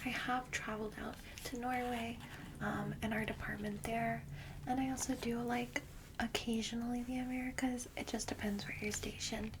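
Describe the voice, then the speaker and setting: A woman voicing a friendly, reassuring vampire guide in a soft, breathy ASMR whisper. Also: a little high, level tone, moderate pace, noisy background, lightly acted. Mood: caring, reassuring. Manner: gentle, reassuring, hesitant asides. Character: friendly female vampire guide, centuries-old, caring and reassuring. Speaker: ASMR roleplayer.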